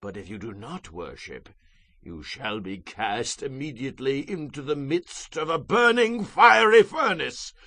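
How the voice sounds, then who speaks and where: Raspy, imperious ancient king, swooping theatrically. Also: medium pitch, lively intonation, measured pace, pushed and bright, exaggerated voice. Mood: angry, threatening. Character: proud, wrathful ancient Babylonian king, commanding and grandiose. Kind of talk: dramatized scripture audio drama.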